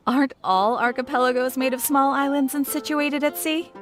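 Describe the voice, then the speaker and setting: A woman's voice: high voice, steady tone, unhurried, fairly bright tone. A woman voicing a friendly, matter-of-fact shopkeeper in a video game, explaining things plainly. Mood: puzzled, amused. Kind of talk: video game character acting. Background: music.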